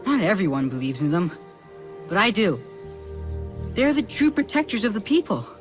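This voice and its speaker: A woman's voice: mid-range voice, sing-song, normal speed, soft background music, close to an everyday voice. A woman voicing a bold, earnest young child who idolises heroes, in a video game cutscene. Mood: earnest conviction.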